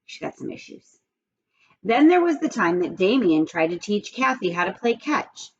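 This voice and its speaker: A woman's voice: medium pitch, very animated, normal speed, breathy edge, lo-fi sound. A woman reading a children's storybook aloud, expressively and with asides, speaking straight to young listeners.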